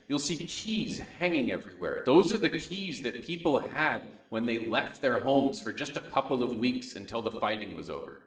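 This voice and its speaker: A man from San Diego talks through his experiences on a discussion panel. He speaks in a relaxed, unhurried way, full of ums and uhs. His mid-pitched voice echoes in a roomy lecture hall. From San Diego, United States. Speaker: documentary producer. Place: university event room.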